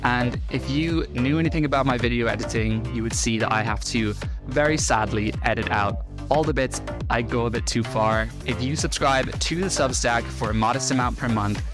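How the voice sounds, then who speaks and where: A man with an Irish accent, hosting a reaction video with blunt, sarcastic commentary. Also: medium pitch. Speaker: host.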